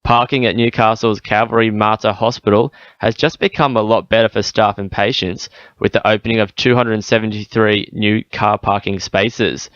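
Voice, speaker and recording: Low-voiced Australian male newsreader. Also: a bit bright, dry recording, highs cut off. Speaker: radio news reader. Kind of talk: scripted news bulletin.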